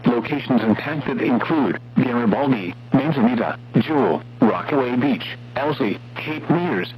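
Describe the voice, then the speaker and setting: A synthetic male voice reading scripted weather warning bulletins in a formal, flat manner as an automated radio announcer. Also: medium pitch, measured pace. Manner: formal, flat synthetic text-to-speech delivery. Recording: muffled, noisy weather radio with hum.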